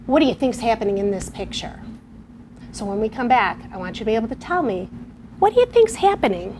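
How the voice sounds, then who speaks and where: A woman teacher talks to young children in a clear, patient way, her voice sitting a little high. She sounds middle-aged. A faint hiss sits under the recording. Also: big pitch swings, brisk. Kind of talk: classroom lesson and read-aloud for children. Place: television studio or classroom.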